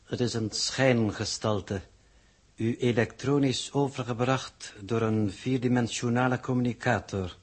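An actor playing a pedantic, formal alien coordinator who reports in stilted, ponderous phrases in a 1960s radio drama. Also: male voice, medium pitch, steady tone, unhurried, slightly rough. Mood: grave, formal.

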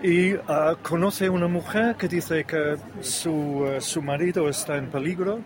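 Halting, higher-voiced man in noisy street interview. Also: steady tone. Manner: halting, searching for words. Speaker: author being interviewed at a book stall. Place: outdoors at a street book stall. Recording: outdoor street crowd noise, slightly distant.

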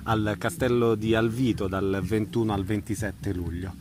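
A man's voice: on the low side, little pitch movement, moderate pace, music in the background. A man conducts an informal event interview as the interviewer. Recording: faint background noise.

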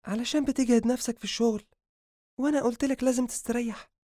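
Man acting tender, anxious young woman doctor, high-pitched. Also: fairly even intonation, quick pace, mellow, no echo, clean studio sound. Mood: caring, gently scolding. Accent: Egyptian. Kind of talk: audiobook character dialogue.

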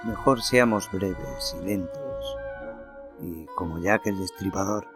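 Slow, deep-voiced man narrating over music. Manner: slow, theatrical delivery. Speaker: narrator and podcast host. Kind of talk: dramatic horror story reading.

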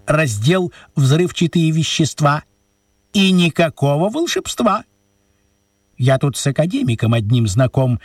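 An actor in a radio play voicing an unflappable, chatty, absent-minded wizard with a theatrical, unhurried delivery. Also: male voice, mid-range voice, husky, no echo, studio quality, recorded from a distance. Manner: theatrical, unhurried, swooping intonation.

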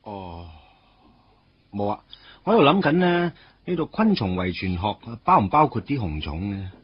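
A low-voiced, wistful young Cantonese romantic. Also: male voice, big pitch swings, normal speed, husky, muffled recording, full character voice. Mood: bantering. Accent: Hong Kong Cantonese. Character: wistful, teasing young man reuniting with his first love. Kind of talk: radio drama acting with narrated inner monologue.

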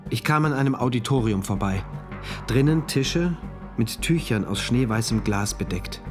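Radio-play diarist, brooding male mathematician acted by a man, medium pitch, introspective, over music. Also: lively intonation, normal speed, lightly acted. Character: earnest male mathematician diarist, dutiful, brooding, inwardly shaken. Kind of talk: radio play first-person narration and dialogue. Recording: music behind the voice.

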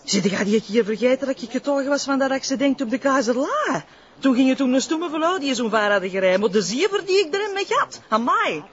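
A grumbling, sardonic Flemish wife, whose pitch rises and drops sharply. She nags in everyday colloquial speech on a dull TV drama soundtrack. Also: animated, brisk, slight background noise. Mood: exasperated, nagging. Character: nagging, sceptical Flemish wife.

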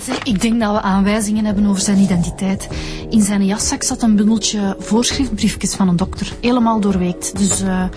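Actress as female detective, matter-of-fact, Flemish, medium pitch, radio drama, clear music underneath. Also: fairly even intonation, normal speed, natural voice. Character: businesslike female homicide detective. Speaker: police detective.

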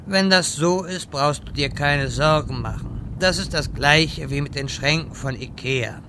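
A man playing a wise, exasperated old wizard in a fantasy parody radio play, speaking deliberately and dryly. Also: a little high, noisy background. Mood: measured, dry. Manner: slow, deliberate, dry.

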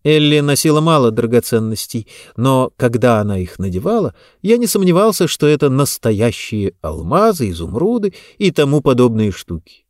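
A man's voice: medium pitch, very animated, normal speed, dry recording. Guy reading an audiobook, first person story, voice moves up and down a lot, very dry recording. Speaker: audiobook narrator. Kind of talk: scripted first-person novel narration.

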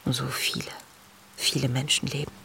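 An actress playing an intense, devout young woman diarist in a radio play, speaking in a hushed, introspective, tender and grieving way. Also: a bass voice, flat delivery, normal speed, creaky, slight background noise, heavily acted. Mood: weary, reflective. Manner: hushed, introspective, often near a whisper. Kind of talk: intimate radio play monologue, diary reading.

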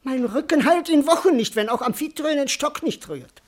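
An actor playing a cowardly, bewildered servant in a classical verse radio comedy, wordy, plaintive and comically indignant. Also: male voice, extremely high pitch, animated, normal speed. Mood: plaintive, weary. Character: bewildered, cowardly male servant doubting his own identity.

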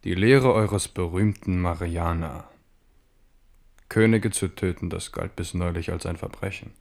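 An embattled king with a low voice. He is proud and wry, putting his questions with pointed irony and scepticism, in the style of a radio play. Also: measured pace, vocal fry, darkish tone, exaggerated voice. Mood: wary, ironic. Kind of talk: German radio play acting.

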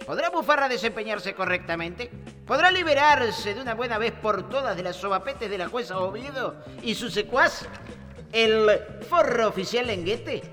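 A man puts on a melodramatic radio serial narrator with a very high, swooping voice. It rings out in long hall reverb over music. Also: lively intonation, moderate pace, sharp tone. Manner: theatrical, melodramatic.

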